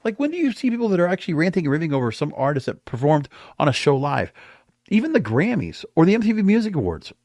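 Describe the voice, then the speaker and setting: Animated, higher-voiced man hosting a podcast. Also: fast talker, dry recording, clean studio sound. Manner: emphatic, rambling, repeats himself. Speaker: podcast host. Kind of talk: opinionated solo podcast commentary.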